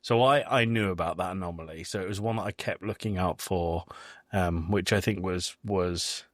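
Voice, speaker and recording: A man's voice: low voice, normal speed, no echo, clean studio sound. A man hosting a podcast, recapping a film in casual discussion and often repeating words as he thinks. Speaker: podcast host.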